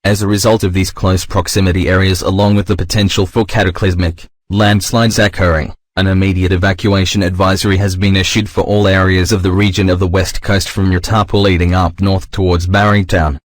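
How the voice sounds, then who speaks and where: A man voicing a formal, stern emergency broadcast announcer, reading a scripted alert in a measured, impersonal manner. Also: low voice, flat delivery, dry recording, clean studio sound, close to an everyday voice. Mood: formal, grave.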